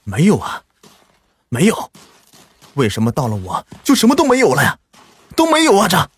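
A man's voice: a little high, huge pitch swings, moderate pace, husky, dry recording, voice pushed up, heavily acted. A man voicing a greedy village man who wails frantically. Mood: frantic.

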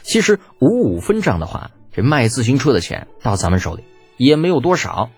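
A man's voice: mid-range voice, huge pitch swings, a little fast, slightly rough, dry recording, faint music behind, voice pushed up. A man voicing a cocky, glib young schemer in an audiobook, with a smug, persuasive manner. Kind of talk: audiobook character acting.

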